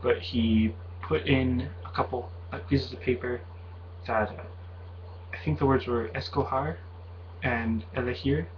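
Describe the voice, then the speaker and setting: Young man, casual vlog ramble, mid-pitched voice, slightly muffled audio, faint hiss. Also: fairly even intonation, a little slow. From Mexican heritage. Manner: rambling, hesitant, many fillers and self-corrections. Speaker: video blogger.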